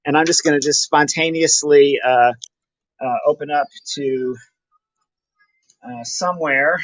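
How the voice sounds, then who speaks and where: A man's voice: on the high side, measured pace, no room sound. A man speaking casually and effusively as he gives a walking video tour and promotes his poetry, recorded on a handheld camera. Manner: enthusiastic, effusive. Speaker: poet promoting his book. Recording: dull lo-fi handheld camera audio.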